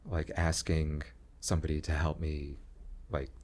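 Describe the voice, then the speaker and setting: A young man talking slowly in a low voice with long pauses, chatting as a guest about his art and career. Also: level tone, darkish tone. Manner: slow, low-voiced, pausing talk. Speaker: comedian and graphic design teacher, guest. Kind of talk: casual interview chat.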